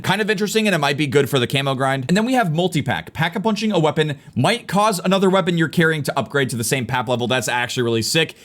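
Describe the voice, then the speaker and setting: A young man talks fast through a game update, hoarse from losing his voice. He reacts with excited, slangy gamer commentary as he reads along. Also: medium pitch. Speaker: gaming video host. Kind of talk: casual commentary reading a game update blog.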